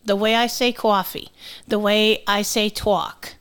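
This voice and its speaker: A woman's voice: mid-range voice, level tone, measured pace, fairly bright tone, smooth. A middle-aged woman with a New England accent touched by Southern, co-hosting a podcast in a blunt, sweary, teasing way. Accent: New England, with some Southern. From New England, United States. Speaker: podcast co-host. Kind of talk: casual chat with presented research.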